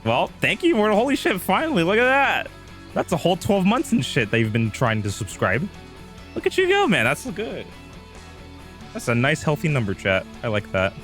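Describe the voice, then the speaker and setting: A man's voice: on the high side, huge pitch swings, moderate pace, a bit bright, soft background music. A man hosting a live stream with casual chat, rambling and repeating himself as he talks straight to his viewers. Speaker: livestream host.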